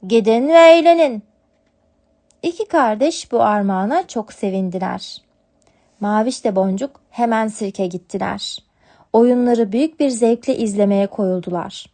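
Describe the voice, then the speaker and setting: A woman's voice: medium pitch, normal speed, warm tone. A woman narrating a scripted storybook tale for children as a storyteller.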